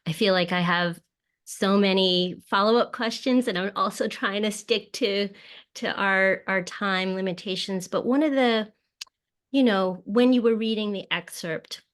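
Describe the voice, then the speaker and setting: Slow-speaking woman asking questions. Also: mid-range voice, fairly bright tone. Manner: measured, searching for words. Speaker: interviewer, event host. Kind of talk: conversational interview questions.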